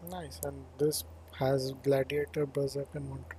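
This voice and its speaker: A man's voice: mid-range voice, monotone, a little slow, noisy background. A man doing casual gameplay commentary as a streamer, offhand and thinking aloud. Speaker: gaming streamer.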